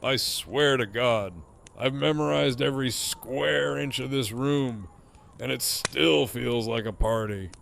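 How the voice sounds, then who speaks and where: A man playing a cocky, teasing, foul-mouthed prisoner in an audio drama, with a smug, sardonic drawl and a gravelly voice. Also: medium pitch, slow pace, fairly bright tone, a little hiss or hum. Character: cocky, teasing, profane male prisoner with a grin.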